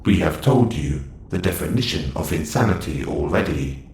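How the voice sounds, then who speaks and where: A man's voice: low-pitched, animated, moderate pace, creaky, darkish tone, echoey room, slight background noise. A man playing a formal, scolding robot warden in an audio roleplay, with clipped phrasing. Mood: stern, disapproving. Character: stern, formal male-voiced robot prison warden.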